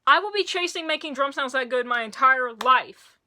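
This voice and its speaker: A woman with a high, sharp and bright voice hosts a casual song review, talking straight to the camera. She is losing her voice, and she gushes excitably, often tripping over and restarting her sentences. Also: moderate pace, a little hiss or hum. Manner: gushing and excitable, with frequent restarts. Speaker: music reviewer and video host.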